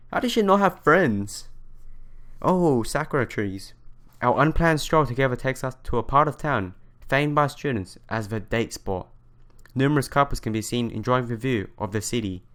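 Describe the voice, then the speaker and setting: A man hosting a let's play, reading visual novel narration aloud in an expressive storytelling manner. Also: mid-range voice, clean tone. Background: background music.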